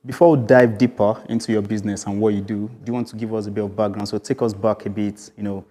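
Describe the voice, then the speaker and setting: Male event host, lowish voice, informally putting questions to a guest live. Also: level tone, fast talker, dark tone.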